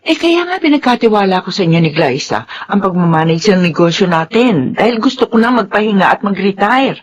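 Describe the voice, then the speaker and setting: A woman's voice: mid-range voice, very animated, fairly quick, highs cut off. Middle-aged mother, firm but placating. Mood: defensive. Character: mother and business owner nearing retirement, firm but placating. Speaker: radio drama actor. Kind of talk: Filipino radio drama acting.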